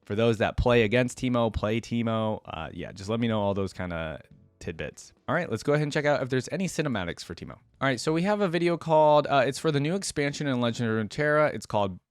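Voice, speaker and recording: A man's voice: mid-range voice, animated, fast talker, clean tone, no room sound. A man hosting a reaction video, talking casually as he comments along.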